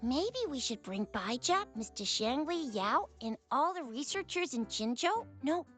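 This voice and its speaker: A woman voicing a frank, teasing young woman companion in a video game, with a casual, lively manner. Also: sits high, measured pace, faint music behind. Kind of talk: video game dialogue acting.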